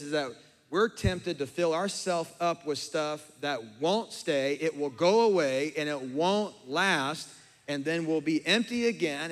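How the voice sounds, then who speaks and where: A middle-aged man preaching a sermon as pastor, his voice slightly hoarse, moving from calm teaching to fervent, emphatic preaching. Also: on the high side. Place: church sanctuary with congregation, also streamed online. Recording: long hall reverb.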